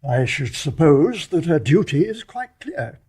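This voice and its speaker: An actor playing a courteous, cautious English major in a period radio drama, hesitant and politely measured, with slight stammers. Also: male voice, on the high side, big pitch swings, darkish tone, breathy edge, exaggerated voice. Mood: grave. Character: courteous, cautious retired-officer gentleman, gently reasoning family friend. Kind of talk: 1920s stage play as radio drama.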